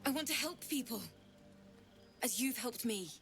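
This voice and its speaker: A woman's voice: a little high, animated, unhurried, a bit bright. A woman playing an eager, sweet young bard in a fantasy game, nervous, hopeful, earnest and gushing. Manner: gushing, earnest, hesitant starts. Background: music.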